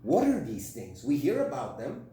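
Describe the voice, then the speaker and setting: Middle aged guy on a podcast, mellow voice, blunt opinions, super echoey and kinda far from the mic. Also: fairly high pitch, huge pitch swings, moderate pace. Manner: emphatic, opinionated, frank. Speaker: podcast co-host and relationship coach. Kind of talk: conversational podcast discussion. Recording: very echoey room, distant mic, faint hum.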